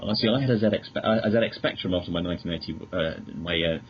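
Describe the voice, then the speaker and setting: A man with a fairly low voice talks as a guest. His chat is polite and somewhat hesitant. The recording is muffled and lo-fi, with faint noise. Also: racing. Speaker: podcast guest, magazine editor. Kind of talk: polite casual interview chat. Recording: dull lo-fi recording, background noise.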